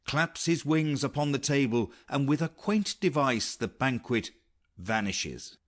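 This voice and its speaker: Male narrator for classic drama audiobook: scripted stage directions, measured pace, animated intonation. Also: medium pitch.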